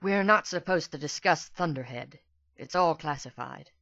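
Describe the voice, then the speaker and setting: A man voicing an earnest, soft-spoken young female pegasus pony with a hesitant manner for a storybook. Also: fairly high pitch, normal speed, gravelly, dry recording, highs cut off, pitched above the performer's own voice.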